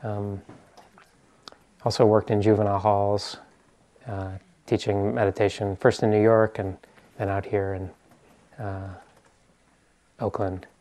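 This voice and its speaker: Male meditation teacher, American, lowish voice, informal talk to a group, hesitant and searching for words. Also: level tone, slow, long pauses, dark tone. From US East Coast.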